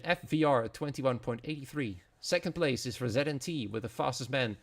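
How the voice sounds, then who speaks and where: A man's voice: mid-range voice, vocal fry. A man with a Dutch accent, speaking English as a non-native, giving live commentary as an esports racing commentator. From Netherlands.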